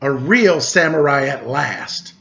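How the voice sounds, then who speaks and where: A man's voice: medium pitch, lively intonation, slow, a bit bright. A man voicing a complaining, exclaiming feudal Japanese lord in a storybook tale. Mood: urgent, demanding. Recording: dull lo-fi recording.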